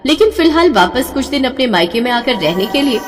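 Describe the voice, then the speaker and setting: Female story narrator, higher register, dramatic scripted read, music underneath. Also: very animated, a little fast, long reverb tail.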